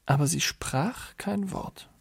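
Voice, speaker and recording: A man's voice: a little high, slow, gravelly, slightly rough. A man delivering scripted literary narration in a radio play. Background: music.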